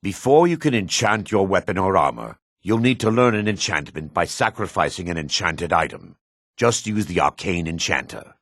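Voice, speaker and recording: A man voicing a gruff, dry-mannered dark elf warrior and townsman in a fantasy video game, with a gravelly, husky voice. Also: sits low, animated, normal speed, no room sound, heavily acted. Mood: instructive, firm. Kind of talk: video game character voice lines.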